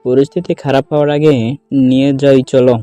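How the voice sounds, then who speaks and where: A man's voice: mid-range voice, flat delivery, fairly quick, dark tone, clear, resonant tone, no echo, faint music behind, lightly acted. A man playing an easygoing, sensible young elder brother in an audio drama. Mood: casual. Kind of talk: dramatised audio story dialogue.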